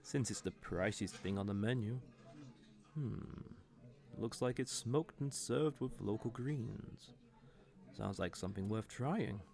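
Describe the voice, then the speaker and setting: A man playing a cocky, suave fantasy villain who teases and flirts smugly in an audio roleplay. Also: fairly low pitch. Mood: relaxed, teasing. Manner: smug, playful, unhurried teasing with soft chuckles. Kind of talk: scripted audio roleplay addressed to the listener.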